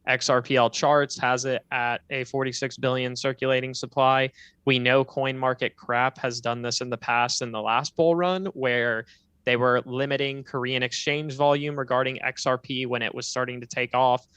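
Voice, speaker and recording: A man's voice: mid-range voice, flat delivery, normal speed, a bit bright, smooth, dry recording. A man co-hosting a casual livestream, giving blunt, firmly held opinions. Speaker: livestream co-host.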